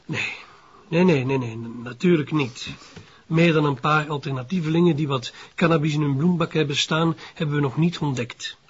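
An actor playing an evasive, formal Flemish police adjutant with a husky voice in a radio crime drama. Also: male voice, fairly high pitch, moderate pace, muffled recording. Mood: defensive. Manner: hesitant, formal, defensive.

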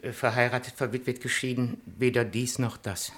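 A man plays an earnest, deferential little citizen with a bright, forceful voice at middling pitch. He is meek yet zealously dutiful and eager to please authority, in the manner of 1960s German radio play acting. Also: flat delivery, normal speed, natural voice. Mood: wistful, earnest.

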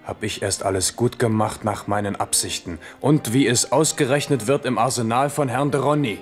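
A man's voice: mid-range voice, moderate pace, faint music behind, close to an everyday voice. An actor playing an amorous, proud but weary French king in a German radio drama, commanding and musing by turns.

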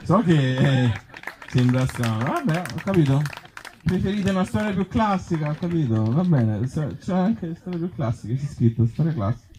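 A man voicing a befuddled, stubborn reader of absurd little fairy tales, halting and repeating words in a comic storybook reading. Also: on the high side, lively intonation, warm tone, pitched above the performer's own voice, close to an everyday voice. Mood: earnest, confused. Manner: halting, stumbling, repeats words, abrupt endings.

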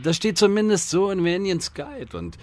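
An actor playing a whiny, self-important wizard with a theatrical, childishly excitable manner in a comedy audio drama. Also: male voice, sits high, normal speed, no room sound. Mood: grumbling, self-important.

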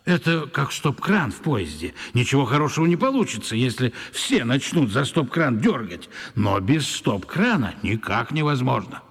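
Sententious man, raspy, animated pitch, cautionary, radio play, hall reverb. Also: normal speed, few pauses, heavily acted. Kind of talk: children's radio drama acting.

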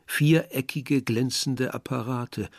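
Müder junger Erzähler, mittlere Tonlage. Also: male voice, mid-range voice, slow, no room sound, studio quality. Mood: wry. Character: weary, observant young male villager narrating a journey. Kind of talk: literary radio drama narration.

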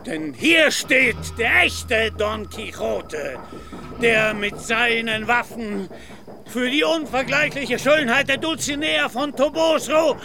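A man's voice: extremely high pitch, expressive, slow pace, creaky, over music, heavily acted. A man playing a valiant, easily indignant, melancholy knight-errant in solemn, archaic courtly German radio play style. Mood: proudly defiant.

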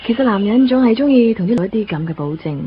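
A woman's voice: fairly high pitch, animated, very fast, slight background noise, lightly acted. A woman acting a composed, understanding young girlfriend in a radio drama, with a Hong Kong Cantonese accent. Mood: calm, confiding. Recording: muffled radio drama recording with music.

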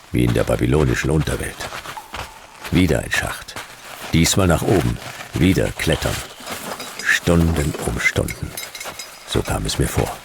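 Gravelly male noir detective, extremely low voice, terse, laconic, radio drama, noisy background. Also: exaggerated voice. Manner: terse, clipped first-person storytelling. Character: hard-boiled, laconic male private detective.

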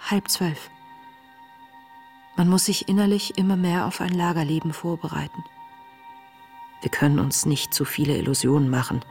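An actress playing an earnest, searching woman in her late twenties, reading her wartime diary in a breathy, introspective, measured way for a radio play. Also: low voice. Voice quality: breathy, often dropping toward a whisper. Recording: music under the voice.

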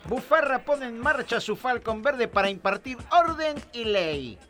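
A man's voice: extremely high pitch, animated, a little slow, sharp tone, heavily acted. A man playing a theatrical, melodramatic narrator of a comedic radio serial. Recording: long hall reverb over music. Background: music.

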